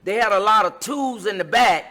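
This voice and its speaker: A woman's voice: mid-range voice, moderate pace, fairly bright tone. A middle-aged woman hosting a relationship advice talk, speaking emphatically in a repetitive, sermon-like cadence. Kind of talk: preaching-style advice talk.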